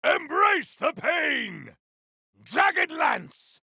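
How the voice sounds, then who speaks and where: A male voice actor playing a grandiose, battle-hungry video game warrior, declaiming in a bombastic, archaic style with a rough, gravelly voice. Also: very high voice, sing-song, very slow, sharp tone, no echo, raised voice, studio quality, full character voice. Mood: battle fury. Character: grandiose battle-hungry warrior wielding fire, frost and storm. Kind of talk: video game combat barks.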